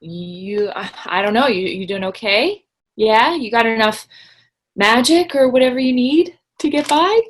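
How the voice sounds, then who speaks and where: Actress as gentle shy young woman, high voice, slow and halting, polite, stage dialogue. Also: lively intonation. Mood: nervous concern. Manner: hesitant, halting, soft-spoken, apologetic. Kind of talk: stage dialogue in a play reading. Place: indoors on a video call.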